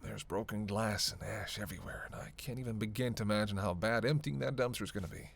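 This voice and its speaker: An actor playing a reluctant, sardonic vampire in an audio roleplay, sarcastic and grumbling, swearing freely. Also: male voice, lowish voice, fast talker, vocal fry, slight background noise. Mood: exasperated, grumbling. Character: sardonic, reluctant male vampire, hungry, cursing, teasing. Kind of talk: solo audio roleplay addressed to the listener.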